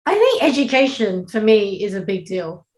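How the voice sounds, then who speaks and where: A woman's voice: medium pitch, sing-song, moderate pace, darkish tone. A woman from the UK, a doctor speaking as a panel guest in a conversational way. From United Kingdom. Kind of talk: livestream panel discussion.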